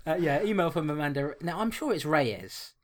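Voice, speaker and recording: A man's voice: fairly high pitch, normal speed. A man from co-hosting a podcast, chatting casually, joking and often laughing as he reads out a listener's email. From England, London. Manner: laughing, animated, self-deprecating banter. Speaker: podcast co-host.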